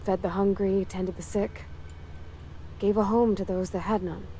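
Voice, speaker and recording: An earnest young small-town woman, played by an actress in a video game, speaking in a medium-pitched voice. She is kind-hearted, hesitant and folksy, apologetic even as she makes her case, over faint background ambience. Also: measured pace, a little hiss or hum, close to an everyday voice. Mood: earnest, troubled. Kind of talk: naturalistic video game dialogue acting.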